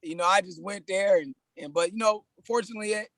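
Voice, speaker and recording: A man in his thirties from California, a personal trainer talking about his life as a podcast guest in a relaxed, casual way, often saying "man". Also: sits high, quick pace, dry recording. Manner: laid-back, frequent "man" and restarts. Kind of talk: casual conversational interview.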